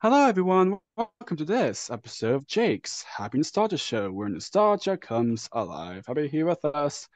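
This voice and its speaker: Eager young male host, lively pitch. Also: moderate pace, hardly any pauses. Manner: eager, repeats fillers, upbeat.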